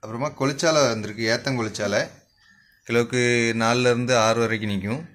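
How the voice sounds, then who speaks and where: A man with a Kanyakumari Tamil accent, a fish shop owner running through the day's catch in a brisk, repetitive vendor's listing, filmed on a handheld phone at an indoor market stall. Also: medium pitch, fairly even intonation, fairly bright tone. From Kanyakumari district, South India. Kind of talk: spoken product rundown for a shop channel.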